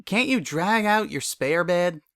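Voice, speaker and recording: A man's voice: sits high, lively intonation, a little slow, fairly bright tone, no echo, clean studio sound, voice pushed up, full character voice. A man voicing a stubborn, flustered country mare with a folksy, plain-spoken manner in a story reading. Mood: reluctant. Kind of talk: dramatic fan fiction reading.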